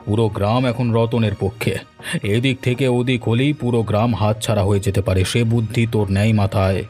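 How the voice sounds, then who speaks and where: A man's voice: lowish voice, steady tone, brisk, darkish tone, soft background music, close to an everyday voice. A man voicing a menacing, scheming village headman in a cartoon, commanding and scolding. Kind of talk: cartoon dialogue.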